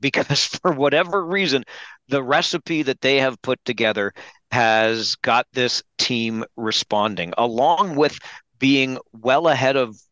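Bright-voiced male sports broadcaster, dry sound. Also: mid-range voice, dry recording, highs cut off. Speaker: hockey play-by-play broadcaster and guest commentator. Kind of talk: sports podcast interview analysis.

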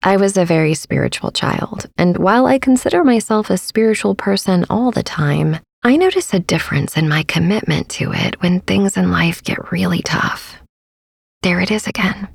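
A woman hosting a sleep meditation podcast, speaking in a soft, soothing, partly whispered and unhurried way. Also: fairly low pitch, creaky, husky, no echo, clean studio sound. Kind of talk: guided sleep meditation narration.

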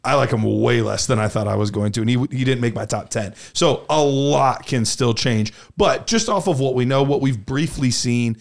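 A male podcast co-host with a gravelly, fry-heavy voice. He trades quick banter and explains his reasoning at length. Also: mid-range voice. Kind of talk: casual podcast chat.

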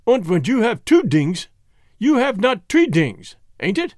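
A man voicing a cunning, excitable condemned man who taunts in broken English with a thick Germanic accent, as in an audiobook story. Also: sits high, a little slow, no echo, clean studio sound, pitched above the performer's own voice. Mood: slyly triumphant.

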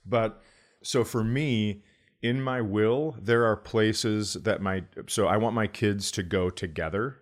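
A man's voice: fairly low pitch, slow pace. A middle-aged man, a comedian, chatting casually as a podcast co-host with dry, wry humour.

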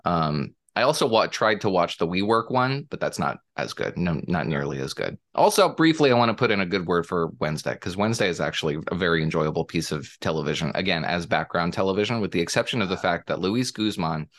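A man chatting casually about television shows, pausing often with fillers and restarts. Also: low voice, lively intonation, fairly bright tone. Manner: hesitant, many fillers and restarts. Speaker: panelist. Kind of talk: casual podcast chat about TV.

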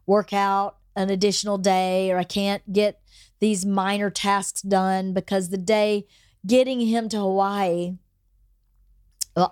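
A woman's voice: medium pitch, smooth, no echo, clean studio sound. A woman hosting a podcast, chatting candidly with a friend while she has a cold and is losing her voice; she swears casually. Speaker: podcast host. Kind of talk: casual chat between friends.

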